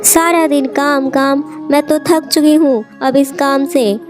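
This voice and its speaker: A woman's voice: high-pitched, steady tone, measured pace, over music. A woman voicing a work-shy, scheming village housewife in a moral-story cartoon, with a dramatic, complaining manner. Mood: irritated, weary. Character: potter's work-shy, complaining, scheming wife and her friend.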